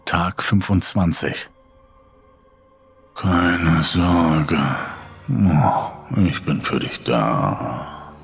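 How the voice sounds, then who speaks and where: Horror audiobook: sinister phantom in a survivor's head, extremely low, crawling pace, gravelly, menacing. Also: male voice, exaggerated voice. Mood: eerily menacing. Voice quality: gravelly, breathy at times. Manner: slow, menacing, drawn-out. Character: sinister male inner voice haunting a lone bunker survivor. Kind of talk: German horror audiobook narration. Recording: long reverb over background music.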